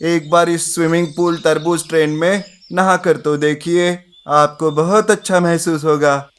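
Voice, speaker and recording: A man's voice: fairly high pitch, steady tone, measured pace, clear, resonant tone, natural voice. A man voicing a considerate, helpful elephant who speaks earnestly and respectfully in a children's animal story.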